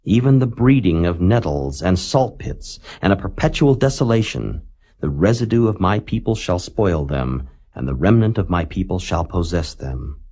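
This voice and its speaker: A man reading scripture aloud in a solemn, measured way, rising dramatically on the warnings. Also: low voice, expressive, dark tone. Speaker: Bible reader.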